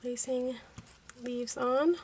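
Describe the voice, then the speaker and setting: A woman hosting a card-making tutorial, hoarse from a cold, talking in a chatty way with unsure asides. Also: high voice, steady tone, extremely slow, a little mellow. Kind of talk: casual craft tutorial narration.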